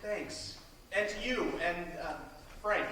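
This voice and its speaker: Wistful young visitor, played by a man: restrained, high-pitched, far from the mic on an echoey stage. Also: takes it slowly. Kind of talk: amateur stage play acting. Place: college theatre stage. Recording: distant camera, echoey theatre, noisy.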